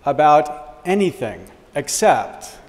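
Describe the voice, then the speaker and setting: A middle-aged American man delivers a deliberate, formal conference address from a lectern. His voice sits a little high for a man. The podium mic catches the long reverb of a wood-panelled lecture hall around him. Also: big pitch swings, a little mellow. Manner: measured, deliberate, gestures while speaking at a lectern. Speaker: law professor giving opening remarks. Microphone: podium microphone.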